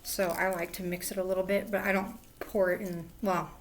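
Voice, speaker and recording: A woman with a hoarse voice, rambling casually as she hosts a crafting livestream from home, sometimes drifting into soft murmurs. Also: on the low side, little pitch movement, a little fast, a little hiss or hum. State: hoarse, lost voice. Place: at home indoors.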